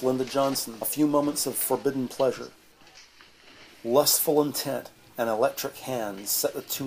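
A man's voice: medium pitch, slight background noise, poor audio. A man narrating a scripted audio drama in a descriptive storytelling style.

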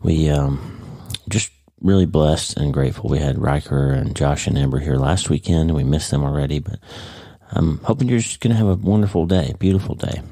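A man's voice: very deep voice, brisk, dry recording. A man hosting his own podcast in a casual spoken monologue, a little congested, chatty and easygoing, recorded at home early in the morning. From United States. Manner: warm, chatty, leaves in his own slips. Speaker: podcast host.

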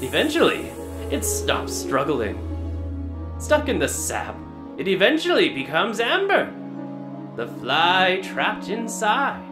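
A man voices a genial, grandfatherly park owner in a fan audio drama, presenting like a showman with a lively, swooping delivery. He takes his time over each phrase while music plays underneath. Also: high-pitched, takes it slowly, heavily acted. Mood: narrating.

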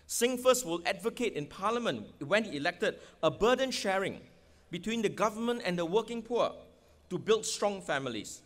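A man's voice: high voice, expressive, moderate pace, a bit bright. Singaporean man giving a rally speech. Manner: rhetorical questions, emphatic, gesturing. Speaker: political candidate. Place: outdoor stadium rally stage. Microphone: podium microphone.

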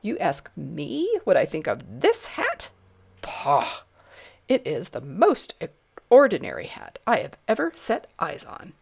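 A woman's voice: on the low side, wildly swooping pitch, slow pace, creaky, slightly rough, exaggerated voice. A woman voicing a pompous, haughty male hatmaker who boasts and sneers in a storybook. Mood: disdainful. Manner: boastful, disdainful.

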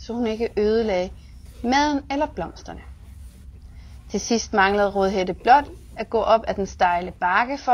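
A young woman, a children's physiotherapist, reading a fairy tale aloud in a large exercise room, lively voices for the characters. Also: mid-range voice, slightly rough, dull audio. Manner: lively voices for the wolf and girl.

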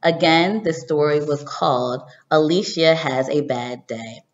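A woman in her thirties, a teacher doing an expressive read-aloud for children, recorded indoors on a webcam. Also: deep voice, unhurried. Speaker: teacher reading a picture book to children. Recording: dull, roomy home webcam recording.

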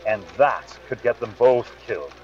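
Man playing an excitable Irish sidekick, lo-fi. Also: medium pitch, normal speed, close to an everyday voice. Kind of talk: radio mystery play acting. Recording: dull lo-fi video-call sound.

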